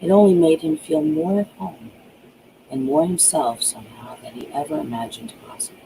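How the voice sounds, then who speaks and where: Gravelly, mellow narrator reading horror. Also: mid-range voice, lively intonation, normal speed, a little hiss or hum, rough recording. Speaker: author reading own book aloud. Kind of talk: dramatic audiobook narration of a horror thriller.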